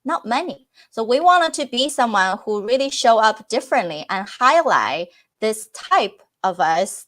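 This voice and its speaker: A woman whose first language is Chinese, answering interview questions earnestly, with false starts and fillers. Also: mid-range voice. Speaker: podcast guest.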